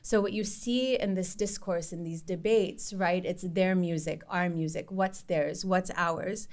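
Woman lecturing with a low, conversational tone. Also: fairly even intonation, measured pace, a little mellow. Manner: conversational, frequent ums and restarts. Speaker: professor giving a lecture. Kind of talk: academic lecture with read-aloud quotes. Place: lecture room with concrete wall. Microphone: podium microphone.

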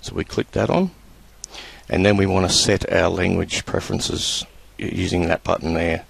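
Male tutorial presenter, husky voice, dry close-mic sound, casual and hesitant delivery. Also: deep voice, dry recording. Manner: relaxed, halting, many fillers. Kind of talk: screen-recorded software tutorial.

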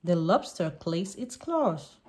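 A woman reads a picture book aloud to young children. She takes it slowly and deliberately, with very lively swings of pitch. There is light background noise and some clicking. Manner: deliberate, short simple sentences.

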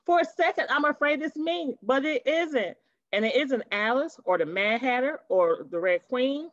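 A woman's voice: high voice, moderate pace, no echo. A woman reading a storybook aloud to children like a teacher, expressively, with chatty asides, on dull webcam audio. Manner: animated, chatty asides to young listeners.

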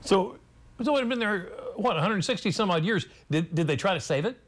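A man's voice: sits high, lively intonation, fairly quick. A man co-hosting a TV show from a studio, chatting and asking eager questions. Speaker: TV show co-host. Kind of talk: TV segment hosting. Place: TV studio set.

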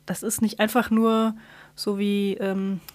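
A woman's voice: medium pitch, flat delivery, slow pace, dry recording. A woman co-hosting a podcast, chatting casually and explaining things while searching for her words. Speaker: podcast co-host.

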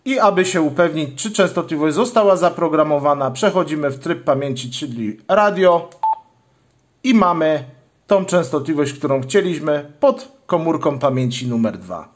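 Male tutorial host, voice a bit on the high side, methodical hands-on instruction. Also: animated, normal speed. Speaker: channel host, product demonstrator.

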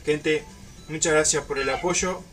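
A young man with a Uruguayan accent, telling a story casually to camera in an informal, halting way. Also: on the high side, steady tone, far from the mic, loud music behind the voice. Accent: Uruguayan Spanish. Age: young adult. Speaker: YouTube storyteller. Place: small room at home.

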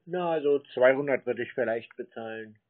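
A man with a husky voice talking casually on the telephone, deadpan and acting slow-witted and confused. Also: medium pitch. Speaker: prank call recipient stringing a caller along. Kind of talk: casual phone conversation. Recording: muffled, narrow phone line.

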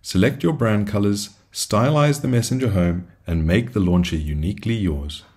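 A man's voice: lowish voice, moderate pace, a little mellow. A man presenting a product demo as a solution engineer, reading scripted tutorial narration in an upbeat, salesy way. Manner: upbeat, salesy phrasing with rhetorical questions.

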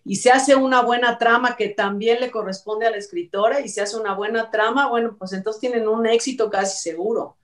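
A woman's voice: fairly high pitch, fairly even intonation, brisk, few pauses, far from the mic. A middle-aged woman with a Mexican accent, giving chatty, emphatic, sarcastic opinion commentary as a talk show host on a video call. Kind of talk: informal opinion commentary on entertainment news. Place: indoor room, video call.

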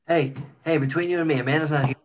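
A man's voice: a little high, steady tone, quick pace. A joking man chatting casually on a panel video call. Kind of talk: casual chat. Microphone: computer mic on a video call.

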